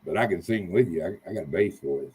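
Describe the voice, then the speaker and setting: Man on a call, low and husky, a bit tipsy and rambling. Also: expressive, fast, darkish tone. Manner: rambling, folksy, easygoing. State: a little tipsy. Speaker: livestream guest. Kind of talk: casual livestream chat.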